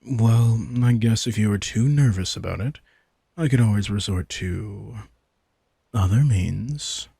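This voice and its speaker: A man's voice: fairly low pitch, unhurried, creaky, warm tone, breathy edge, dry recording, clean studio sound, heavily acted. A man playing a sardonic, laid-back vampire king in an intimate audio roleplay, teasing and manipulative as he speaks casually to the listener. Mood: coaxing, smug. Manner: laid-back, wry, teasing, informal swearing.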